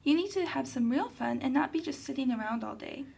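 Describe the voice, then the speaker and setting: A woman plays a puppet mother with a high voice and a marked creak in it. She is patient yet firm, admonishing and instructing in the manner of a children's puppet show. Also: lively intonation, brisk, a little mellow, heavily acted. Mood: gently scolding.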